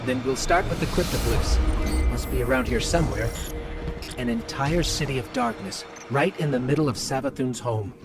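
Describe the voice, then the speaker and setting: A man voicing a small, curious robotic companion in a video game, talking in a casual, wry, questioning way over loud music. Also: medium pitch, animated, moderate pace, lightly acted. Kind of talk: video game mission dialogue. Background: loud orchestral game score.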